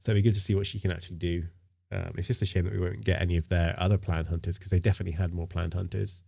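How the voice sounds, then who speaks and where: A man's voice: low-pitched, little pitch movement, very fast, vocal fry, mellow, breathy edge. A man streaming live in casual chat, sounding nasal from a stinking cold, rambling with lots of fillers and repeated words.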